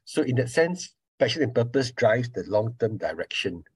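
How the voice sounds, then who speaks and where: A middle-aged man with a Singaporean accent, a surgery professor giving an online talk in a measured, explanatory way with frequent pauses. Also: medium pitch, big pitch swings, darkish tone, husky, dry recording. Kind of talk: online information session talk. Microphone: video call.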